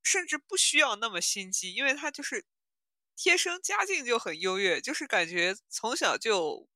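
A woman's voice: fairly high pitch, sing-song, moderate pace, pushed and bright, no echo, studio quality. A woman co-hosting a podcast in a casual, conversational chat. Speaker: podcast co-host. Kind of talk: casual chat about audio dramas.

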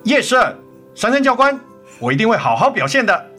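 A man acting a remorseful, devoted middle-aged father in a radio drama, gentle, tender and coaxing. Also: very high-pitched, very animated, moderate pace, exaggerated voice. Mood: playful, eager. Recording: music under the voice.

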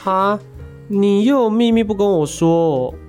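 A woman's voice: medium pitch, measured pace, a little mellow, faint music behind, close to an everyday voice. A woman with a Taiwanese accent playing a defensive, whiny young slacker housemate in an audio drama. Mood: whiny, curious. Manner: protesting, exclamatory.

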